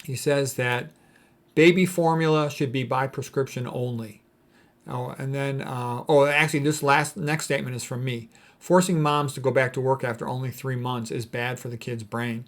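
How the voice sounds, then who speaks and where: A man's voice: medium pitch, fairly even intonation, moderate pace. A man speaking as a doctor in an informal lecture, reading out quotes and commenting on them in a blunt, emphatic, opinionated way. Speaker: doctor commenting on diet and medicine.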